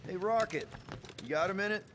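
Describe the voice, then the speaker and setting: An actor playing an upbeat, awkward young leader of a space crew in a video game, talking in a hesitant, joking, rambling way. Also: male voice, sits high, fairly quick, fairly bright tone, a little hiss or hum. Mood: sheepish, upbeat. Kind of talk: naturalistic video game acting.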